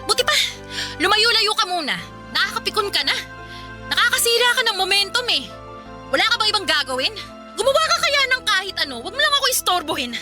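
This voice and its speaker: A woman's voice: very high-pitched, sing-song, quick pace, over music. An actress playing an irritable, work-obsessed young Filipina career woman in a radio drama, snappy, sarcastic and scolding. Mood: annoyed, dismissive.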